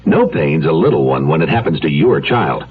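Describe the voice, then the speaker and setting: A man's voice: extremely low pitch, very animated, normal speed, husky. A man reading a scripted radio advertisement as a commercial announcer. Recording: muffled old radio broadcast.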